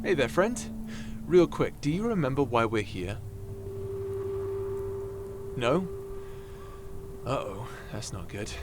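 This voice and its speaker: A man playing an excitable, cheeky adventurer in an ASMR roleplay drama, animated and theatrical with a stammering, jokey delivery. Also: medium pitch, slow pace, pauses often, background noise. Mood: chatty, cheeky.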